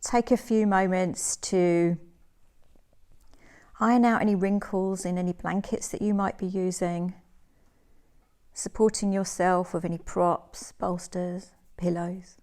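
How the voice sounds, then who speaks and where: A woman leading a guided relaxation meditation as a yoga teacher, soothing and gentle, in measured phrases with pauses. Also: lowish voice, fairly even intonation.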